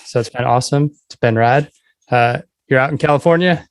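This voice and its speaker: A man's voice: medium pitch, a little fast, smooth, no echo. A man hosting a music podcast, reading his intro and chatting with a guest in an upbeat, conversational way. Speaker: podcast host. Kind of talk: casual podcast intro and interview chat.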